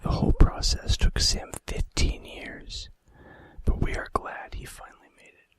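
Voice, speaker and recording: A man narrates in a breathy whisper for an ASMR audience. His delivery is hushed and deliberate, like reading a script, with casual asides in the same unvoiced tone. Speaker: ASMR video host.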